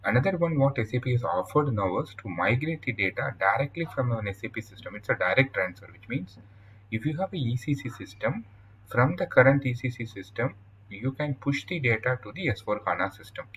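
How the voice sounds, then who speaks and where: A man with an Indian accent presenting a software tutorial in a halting, explanatory way over slides. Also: mid-range voice, expressive, a little hiss or hum, poor audio. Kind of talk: informal scripted-outline tutorial narration over slides.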